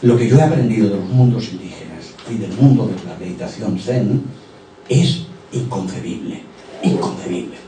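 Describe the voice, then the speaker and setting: A man's voice: on the low side, lively intonation, normal speed, dark tone. A man giving a conference talk from slides, speaking discursively, often tagging phrases with "eh?" and "okay?", and addressing the audience directly. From Barcelona, Spain. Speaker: conference speaker presenting a therapy method. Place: conference hall. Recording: echoey hall, distant mic, faint hum.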